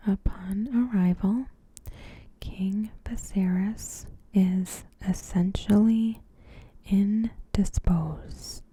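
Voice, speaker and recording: A woman softly speaking in a hushed, breathy ASMR style, reading a scripted recap with deliberate pauses. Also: on the low side. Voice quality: soft, breathy, whispery. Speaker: ASMR host.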